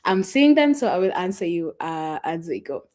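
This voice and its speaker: A young Kenyan woman with a Kenyan accent, whose first language is Swahili, chatting casually and rambling with fillers and soft laughs as she hosts a travel livestream from a friend's house. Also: fairly low pitch, very animated, moderate pace. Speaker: travel vlogger hosting a livestream. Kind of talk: casual livestream chat with viewers.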